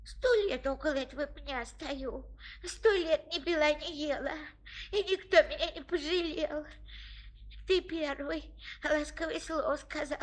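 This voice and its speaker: Ancient, high-voiced plaintive crone. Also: female voice, fairly even intonation, a little slow. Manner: plaintive, folksy. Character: ancient plaintive, grateful fairy-tale old woman. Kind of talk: children's audio play acting.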